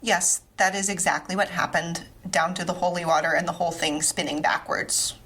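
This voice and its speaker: Husky, low-voiced, formal woman doctor acted. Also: normal speed. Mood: deadpan. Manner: formal, polite, deadpan. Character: eccentric, courteous woman doctor on the telephone. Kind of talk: comic audio fiction monologue.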